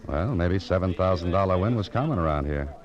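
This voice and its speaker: An actor playing a world-weary, wry American private detective in a deadpan radio noir style. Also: male voice, low voice, a little fast. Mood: wry suspense. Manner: dry, deadpan, wisecracking. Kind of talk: 1940s-50s radio noir narration and dialogue. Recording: muffled vintage radio recording.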